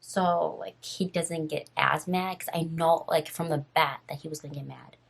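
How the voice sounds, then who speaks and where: A woman in her twenties with a raspy voice, casually telling a story on her vlog while doing her makeup, rambling and full of fillers. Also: deep voice, fairly even intonation, normal speed. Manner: rambling, filler-heavy and nervous. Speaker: vlogger.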